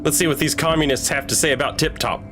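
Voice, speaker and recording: A man's voice: fairly high pitch, fast, over music. A man doing a casual video game playthrough, reading his dialogue choices aloud.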